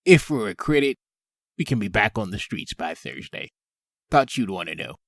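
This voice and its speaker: Male actor as meek comic-book subordinate, slightly low voice, polite, dry sound. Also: very animated, moderate pace, vocal fry, no echo, full character voice. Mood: hesitant, hopeful. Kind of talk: fan comic dub acting.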